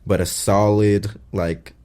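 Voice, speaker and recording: Low, mellow-voiced young man chatting. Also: flat delivery, slow pace, smooth. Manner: laid-back, loose, filler-heavy talk. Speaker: guest DJ and producer. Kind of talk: casual interview chat.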